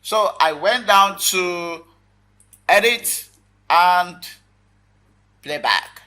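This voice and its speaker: A man hosting a casual software tutorial, talking viewers through the steps informally in short phrases. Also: high voice, very slow. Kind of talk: casual screen-recorded tutorial talk.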